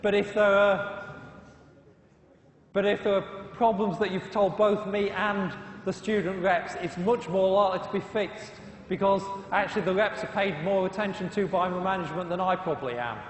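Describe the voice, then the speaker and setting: Brisk informal man lecturing students, recorded in a big echoing hall. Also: high-pitched, steady tone. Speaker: university lecturer. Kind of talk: classroom lecture. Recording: echoey hall reverb.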